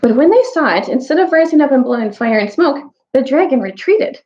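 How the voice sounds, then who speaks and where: A woman storyteller giving an expressive read-aloud of a children's fantasy novel. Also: high-pitched, fairly quick. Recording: dull, lo-fi audio.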